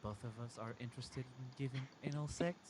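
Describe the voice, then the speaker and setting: A man's voice: medium pitch, brisk. A man whose native language is Portuguese reads a story aloud in an informal, amateur way. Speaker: reader of a story. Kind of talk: amateur story reading with asides to friends. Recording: clearly noisy amateur recording.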